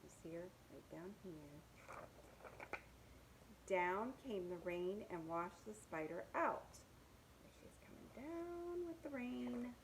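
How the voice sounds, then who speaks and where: A woman's voice: mid-range voice, expressive, slow, pauses often, noisy background. A woman reading picture books aloud to young children, with sing-song rhymes and simple asides about the pictures.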